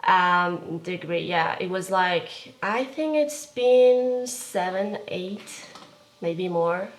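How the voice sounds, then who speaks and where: A woman's voice: on the low side, drawn-out words, low-quality recording. A young woman from the Kurdistan region of Iran, speaking as a visual artist being interviewed in an art studio, hesitant and searching for words with many fillers. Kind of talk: casual interview.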